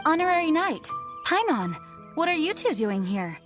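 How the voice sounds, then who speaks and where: A woman's voice: sits high, very animated, moderate pace. An actress playing a dutiful female knight commander in a video game, formal, composed and authoritative, with music behind her. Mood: composed concern. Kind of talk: video game dramatic acting.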